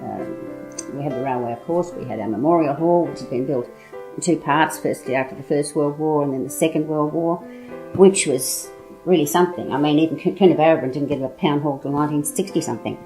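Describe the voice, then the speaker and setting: An older Australian woman from Sydney with a very low, mellow voice speaks in an articulate, measured way about the town's busy years. Soft music runs faintly underneath. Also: poor audio. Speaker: interviewee, local resident. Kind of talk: casual reminiscing.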